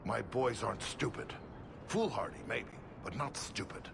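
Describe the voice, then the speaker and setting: An actor playing an indignant, pleading middle-aged dwarf merchant, a father, with a gravelly voice, in a video game. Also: medium pitch, lively intonation, normal speed, background noise.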